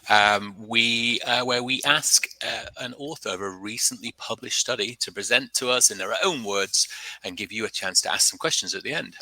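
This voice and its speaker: A man hosts a live online journal club, his voice bright and forceful with a fairly low pitch. He introduces the guest in a friendly, halting way, with plenty of "uh" and "um". The sound is dry and close. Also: dry recording, poor audio. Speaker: webinar host. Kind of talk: hosting a live webinar.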